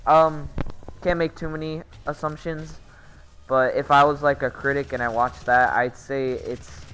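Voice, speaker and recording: A man's voice: mid-range voice, pushed and bright, clear, resonant tone. A man giving casual, rambling commentary as a gaming vlogger, often hesitating and correcting himself in slangy speech.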